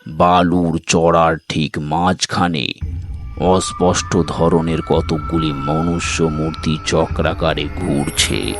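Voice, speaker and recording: A man's voice: a bass voice, little pitch movement, slow, music in the background. A man narrating a scripted story as a dramatic storyteller.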